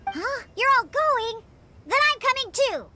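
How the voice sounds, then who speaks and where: A woman voicing a tiny, childlike, excitable fairy companion in a video game, squeaky and exclamatory. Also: a little slow, exaggerated voice. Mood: worried. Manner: exclamatory, speaks of herself by name. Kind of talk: English video game voice acting. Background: game music.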